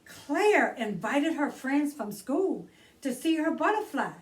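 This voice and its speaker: High, animated woman reading a children's story slowly. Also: recorded from a distance. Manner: expressive, deliberate, drawn-out emphasis on key words. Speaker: children's storytime host and reader. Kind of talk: read-aloud children's story narration.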